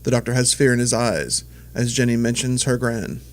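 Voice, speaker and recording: A man's voice: mid-range voice, steady tone, moderate pace. A man giving scripted, present-tense narration as the storyteller of an audio drama.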